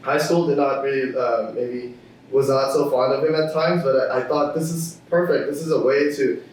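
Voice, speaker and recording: A man's voice: medium pitch, fairly even intonation, normal speed, a little mellow, a little hiss or hum. A young man from Thailand, a student guest on a podcast, talking casually with many fillers and restarts. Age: young adult. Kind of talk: casual interview chat. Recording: echoey room, distant from mic.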